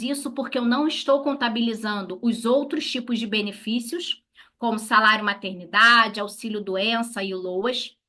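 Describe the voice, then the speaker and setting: Brazilian woman, lawyer-instructor. Higher-pitched, bright, forceful delivery; didactic, question-and-answer teaching style. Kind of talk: instructional talk to colleagues.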